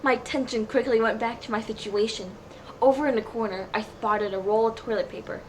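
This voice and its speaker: A woman's voice: on the high side, brisk. A woman narrating a comedic dramatic reading of fan fiction in a mock-serious storybook manner. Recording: clearly noisy home recording.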